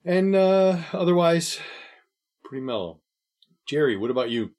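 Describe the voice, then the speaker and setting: A man co-hosting a podcast in casual chat, laid-back, laughing often and trailing off quietly. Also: fairly high pitch, sing-song, measured pace. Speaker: podcast co-host.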